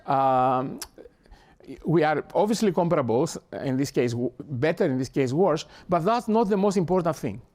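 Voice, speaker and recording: An older Greek-accented man lecturing to an audience with a somewhat raised pitch. He is earnest and halting, often restarting and repeating words as he works toward his point. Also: expressive, darkish tone. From Greece. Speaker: professor. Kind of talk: academic talk. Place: lecture hall.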